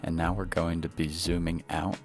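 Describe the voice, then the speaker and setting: A young man, a nursing student, calmly explaining the parts of his video project about his classmates. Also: low-pitched, normal speed, creaky, soft background music. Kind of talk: narrated video project with interviews.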